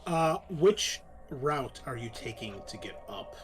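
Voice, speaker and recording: A man's voice: mid-range voice, very animated, slow. Man game master over background music. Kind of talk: narrating a tabletop roleplaying game.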